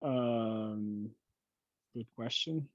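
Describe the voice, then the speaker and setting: A man talking casually and haltingly through technical matters in an online meeting. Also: mid-range voice, no echo. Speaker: working group member giving a technical update. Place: online conference call. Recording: slightly dull, lo-fi call audio.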